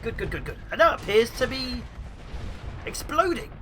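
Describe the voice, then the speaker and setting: A young man with the flu, sounding sniffly, giving excitable let's play commentary. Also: very high voice, moderate pace, faint music behind. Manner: excitable, mutters at enemy ships. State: sniffly with flu, sore throat. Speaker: gaming YouTuber.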